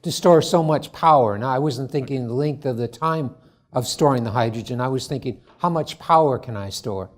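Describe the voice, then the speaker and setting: A man presenting his startup at a conference forum, answering judges' questions in a halting, earnest way full of restarts. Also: mid-range voice. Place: conference hall.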